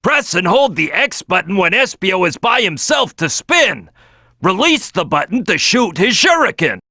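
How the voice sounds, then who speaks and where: A man's voice: high-pitched, sing-song, normal speed, bright, forceful tone, no room sound, studio quality, full character voice. A man voicing a big brash cartoon crocodile team leader in a video game, giving tutorial tips with emphatic, punchy delivery. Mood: brash, forceful. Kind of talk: animated game tutorial voice lines.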